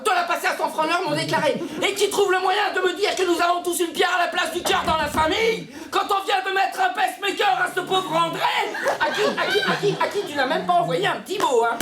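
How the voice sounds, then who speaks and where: A man voicing an indignant, self-pitying middle-aged mother, grandiloquent and haranguing, in an echoey theatrical monologue. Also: very high-pitched. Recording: roomy, echoey sound.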